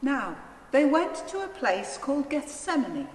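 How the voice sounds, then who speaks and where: A woman's voice: high-pitched, big pitch swings, unhurried. A woman narrating a dramatised Gospel in a formal, declamatory reading style. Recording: echoey church hall, faint noise.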